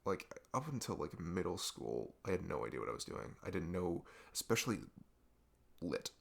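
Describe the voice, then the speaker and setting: A young man playing a best friend who opens up in an intimate ASMR deep talk, rambling and halting, earnest and reflective. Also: very animated, vocal fry, exaggerated voice. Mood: reflective, candid. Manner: rambling, halting, reflective, frequent "like" and "you know". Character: earnest male best friend opening up late at night. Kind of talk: intimate ASMR audio roleplay, unscripted-sounding deep talk.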